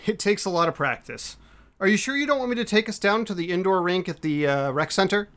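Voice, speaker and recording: A man's voice: high-pitched, expressive, fairly quick, fairly bright tone. A man acting in an audio drama as an easygoing, teasing young friend, playful and slightly hesitant. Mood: bashful, cautious.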